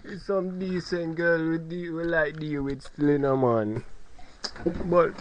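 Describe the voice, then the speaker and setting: A man's voice: a little high, steady tone, a little slow, slight background noise. A man putting on a Jamaican patois accent, teasing his partner and telling comic stories with swagger. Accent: put-on Jamaican patois. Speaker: comedy podcast host. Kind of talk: comic banter and storytelling. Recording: lo-fi podcast audio.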